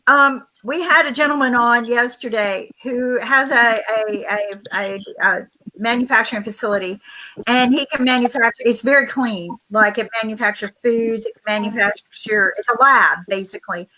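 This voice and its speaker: A woman's voice: a little high, moderate pace, sharp tone, a little hiss or hum, poor audio. A woman hosting a casual talk show over a video call, rambling with many restarts. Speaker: host.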